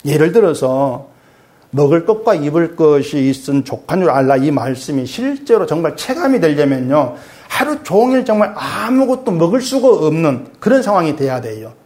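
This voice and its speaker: A middle-aged male pastor preaching conversationally, asking his congregation questions and dropping low and soft when he reads scripture. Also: very animated, normal speed. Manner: swings between raised emphatic lines and quiet low asides; reads scripture aloud in a lower, softer voice. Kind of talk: sermon.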